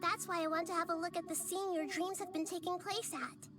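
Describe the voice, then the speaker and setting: A very high, calm little girl goddess, curious and wise, in game audio over loud music. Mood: gentle. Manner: gentle, thoughtful, measured. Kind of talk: game voice acting.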